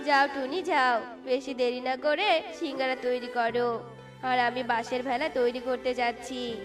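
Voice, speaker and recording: Casting: humble cartoon husband bird, high voice, a woman doing it, music bed. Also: fairly even intonation, unhurried. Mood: hopeful. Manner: pleading, hawking cheerfully.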